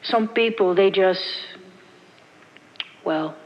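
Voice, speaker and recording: A woman voicing a protective middle-aged Puerto Rican mother with a Puerto Rican accent, leaving a halting, repetitive voicemail. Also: mid-range voice, in a large hall, dull audio, voice pushed down. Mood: tender, worried. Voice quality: halting, repeating herself, broken English. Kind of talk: voicemail monologue in a stage show.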